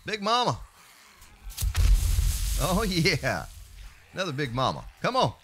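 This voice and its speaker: A man's voice: on the high side, slow pace, a little hiss or hum. A man streaming a survival game, chatting playfully with viewers and slipping into joking voices. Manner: playful, animated, drifts into mock voices and song snippets. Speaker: let's play streamer. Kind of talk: live gameplay commentary chatting with viewers.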